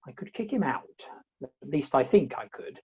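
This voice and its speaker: Indignant, bewildered gentleman female actor, theatrical and animated, deep husky tone, muffled online recording. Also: moderate pace, exaggerated voice. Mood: indignant, puzzled. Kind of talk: amateur comic radio play acting. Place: home, recorded over a video call. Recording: muffled video-call sound.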